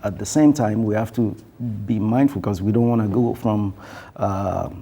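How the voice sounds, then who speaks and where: A man speaking on a television panel, thoughtful and halting, with many fillers and restarts. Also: fairly low pitch, mellow, husky. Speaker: panel guest. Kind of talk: panel discussion.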